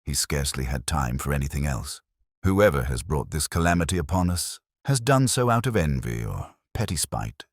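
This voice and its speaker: Man voicing a clipped, dignified, low-pitched widow. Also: big pitch swings, normal speed, dry recording, clean studio sound, exaggerated voice. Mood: clipped, bitter. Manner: level, clipped, formal. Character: rigid, dignified, guarded widow of a councillor.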